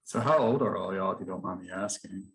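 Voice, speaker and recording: A man's voice: low voice, fast talker, gravelly. A man hosting a podcast, putting casual questions to his guests. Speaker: podcast host, interviewer. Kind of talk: casual interview.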